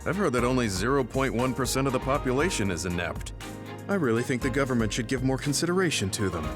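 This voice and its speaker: An actor plays a considerate, serious male soldier in a game. His mid-range voice moves expressively up and down over clear background music. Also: medium pitch, expressive, fast talker, natural voice. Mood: concerned. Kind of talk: video game cutscene voice acting.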